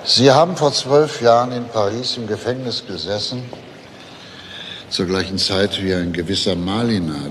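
An actor playing a calm, persistent police detective who questions pointedly in a German crime drama. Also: male voice, deep voice, close to an everyday voice. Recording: very reverberant hall, faint noise.

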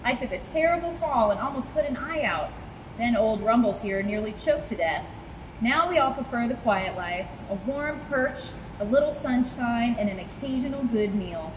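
A woman's voice: a little high, lively intonation, moderate pace, reverberant, background noise, lightly acted. A woman voicing grumbling, nostalgic old snakes in a storybook, with a gossipy, reminiscing manner.

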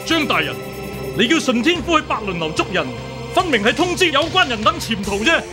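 An actor with a Hong Kong Cantonese accent playing a hot-headed, righteous young court official, earnest and impassioned, in a radio drama. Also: male voice, very high-pitched, big pitch swings, brisk, music in the background. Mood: defiant, indignant.

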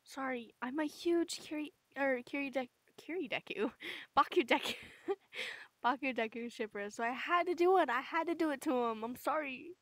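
Giggly young woman with a bright voice. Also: sits high, moderate pace, dry recording. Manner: giggly, self-interrupting, informal. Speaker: amateur comic dubber. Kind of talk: casual chat between comic dub readings.

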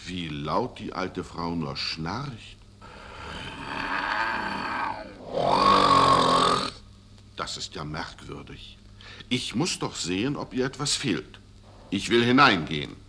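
A man's voice: sits low, expressive, unhurried, pushed and bright, a little hiss or hum, full character voice. An actor playing an indignant, good-hearted huntsman in a fairy-tale radio play, declaiming and exclaiming. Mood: puzzled. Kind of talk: 1970s German fairy-tale record acting.

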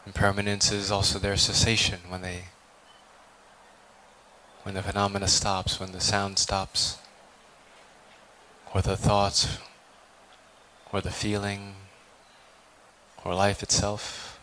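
A man leading a guided meditation as a teacher, speaking calmly and contemplatively with long, deliberate pauses. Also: fairly low pitch, slight background noise, rough recording. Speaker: meditation teacher, Buddhist monk.

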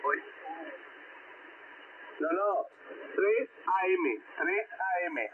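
Man, Canarian Spanish accent, fairly high voice, amateur radio chat, emphatic repetition, narrow static-filled signal. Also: expressive, very slow. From Canary Islands, Spain. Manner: repeats words for emphasis. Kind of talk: casual ham radio chat. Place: heard over a ham radio receiver. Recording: tinny shortwave radio with loud static.

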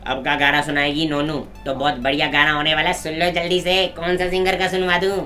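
A man's voice: fairly high pitch, little pitch movement, fairly quick, bright, forceful tone, faint music behind. A young man from India chatting casually as a participant in a home challenge vlog, in a small room. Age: young adult. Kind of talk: casual chat.

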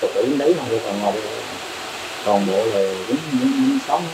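A man's voice: lowish voice, moderate pace. A middle-aged man, a shrimp farmer, explaining his methods in a plain, matter-of-fact, rambling way during an on-site interview in an open farm shed. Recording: noisy outdoor farm recording.

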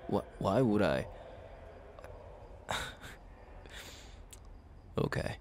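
A man acts out a jealous young male best friend in an intimate ASMR roleplay. His voice is very low and swings widely in pitch, with halting, extremely slow phrases broken by stammers and sighs. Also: lively intonation, a little mellow, a little hiss or hum, exaggerated voice. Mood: tense, subdued. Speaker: roleplay audio performer.

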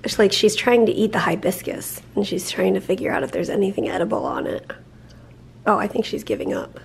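A woman in her thirties, a vlog co-host, chatting in an animated way to a handheld camera in her kitchen, saying "like" often. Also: mid-range voice, fairly quick, creaky, husky. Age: 30s. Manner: chatty, animated, says "like" a lot. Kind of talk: casual vlog chat. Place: home kitchen. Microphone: handheld camera at arm's length.

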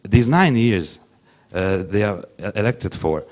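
A young man whose first language is Hungarian, speaking English haltingly on a conference panel into a handheld mic, with many restarts. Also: lowish voice, lively intonation, darkish tone. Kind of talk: panel discussion.

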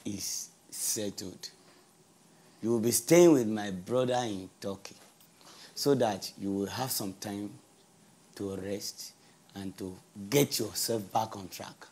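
A man playing a slow, husky father. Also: fairly low pitch, mellow, exaggerated voice. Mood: firm. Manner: slow measured reassuring. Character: calm authoritative father settling son's fate.

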